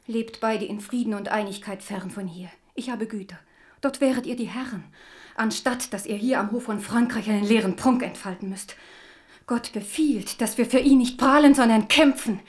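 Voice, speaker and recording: A woman plays a devout, motherly noblewoman in a radio play. Her voice is fairly high, and she speaks formally with earnest religious fervour. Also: normal speed, lightly acted. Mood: fervent, pleading. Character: pious, earnest older Protestant noblewoman, motherly. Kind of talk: radio drama acting.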